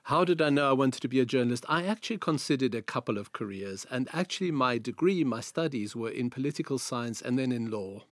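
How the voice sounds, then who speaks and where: A man in his sixties with a South African accent tells stories from his working life in a calm, measured way. His voice sits at an ordinary middle pitch. The sound is dry and close, with no room around it. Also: dry recording. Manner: measured, anecdotal, repeats phrases. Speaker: guest, veteran journalist. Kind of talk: interview, storytelling.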